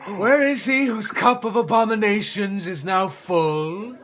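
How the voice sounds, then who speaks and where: An actor playing a fanatical prophet raging against sin, declaiming in an oratorical classical audio drama style. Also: male voice, high voice, unhurried, a bit bright, faint music behind. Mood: thundering. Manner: ranting, oratorical, archaic solemn delivery.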